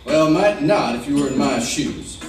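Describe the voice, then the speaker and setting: An echoey, distant old radio recording carries a man acting as an Old West marshal. He is decent and stubborn, hemming and hawing in folksy speech that swings widely in pitch. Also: lively intonation, normal speed, slight background noise, natural voice. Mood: reluctant, defensive. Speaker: actor in a radio western.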